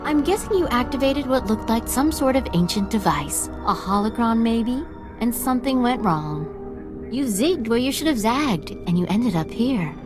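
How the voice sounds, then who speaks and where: A woman's voice: on the high side, expressive, normal speed, music in the background, natural voice. A woman voicing a playful, lonely ancient female spirit who teases a visitor into a game of questions in a video game. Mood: teasing. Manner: whimsical, teasing, playful.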